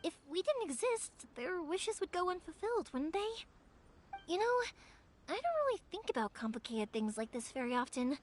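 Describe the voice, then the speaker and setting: A woman playing a cheerful, warm-hearted young firework maker in a video game, chatty and sincere, with a girlish voice. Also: very high voice, big pitch swings, moderate pace, a little hiss or hum. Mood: earnest, reflective. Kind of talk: video game character acting. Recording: music behind the voice.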